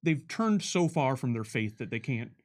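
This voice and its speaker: A man's voice: mid-range voice, big pitch swings, normal speed. A man talking as a game developer in casual, unscripted conversation, explaining things and slipping into short imagined quotes. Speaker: game developer on a commentary stream.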